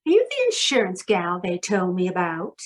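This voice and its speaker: A woman's voice: on the low side, wildly swooping pitch, moderate pace. A woman playing a jumpy, fretful young female carnival snake charmer in an old-time radio crime play. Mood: wary.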